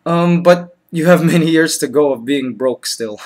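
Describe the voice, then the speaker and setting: Guy in his 30s, kinda high voice, patient and chuckly, webcam in a bedroom. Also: moderate pace. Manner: patient then exasperated, laughing. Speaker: comedy vlogger. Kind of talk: comedy sketch vlog. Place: small bedroom, indoors.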